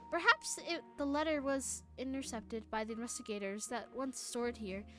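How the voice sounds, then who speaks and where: A woman livestreaming a game with casual commentary, muttering asides and haltingly reading text aloud, correcting herself as she goes. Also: on the high side. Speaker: live game streamer. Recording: music playing behind the voice. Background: game music.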